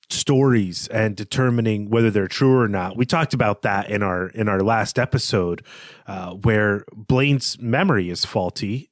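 A man's voice: medium pitch, dry recording. Bookish man in a dry, close recording. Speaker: podcast co-host. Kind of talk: literary analysis discussion between co-hosts.

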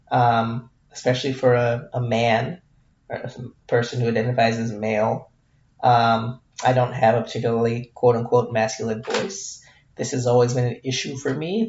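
A man in his thirties talks through his own podcast, candid and self-deprecating, often restarting his thoughts. His voice has a gravelly, fry-tinged edge. The sound is roomy and somewhat dull, like a lo-fi home recording. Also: medium pitch, a little mellow. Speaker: podcast host. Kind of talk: reflective solo podcast monologue.

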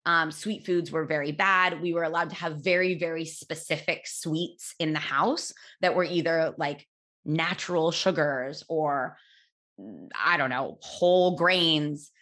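Low-voiced woman telling a personal story. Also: steady tone, a little slow. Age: 30s. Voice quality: loud voice. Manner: candid, chatty, lots of fillers and restarts. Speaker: podcast host.

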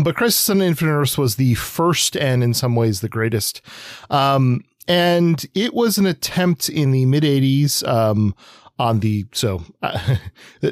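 A man chats as a podcast co-host in an average-pitched voice. He explains casually, wandering into wry asides and digressions. The recording is dry, without room echo.

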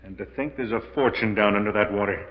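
A man's voice: fairly low pitch, quick pace, close to an everyday voice. Man acting an eager radio adventurer, cavernous echo. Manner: hearty, excitable, theatrical. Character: eager, trusting husband on a treasure hunt. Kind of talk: 1940s radio thriller acting. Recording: dull vintage 1940s radio, long reverb.

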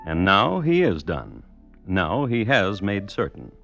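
A man hosting and narrating a scripted true crime radio show in a wry, measured, old-time manner. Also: sits low, huge pitch swings, vocal fry, fairly bright tone. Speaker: host and narrator. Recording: dull old radio recording. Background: music.

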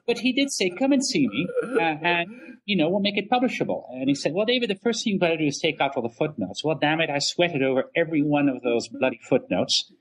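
A middle-aged man, an academic guest in an interview, talking conversationally in wordy, halting and measured phrases. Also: a little high, expressive, rough recording. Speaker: history professor and author, interview guest.

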